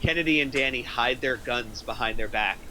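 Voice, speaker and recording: Guy reading out script directions, bright forceful voice, little bit of noise behind. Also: medium pitch, moderate pace, poor audio. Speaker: narrator reading stage directions.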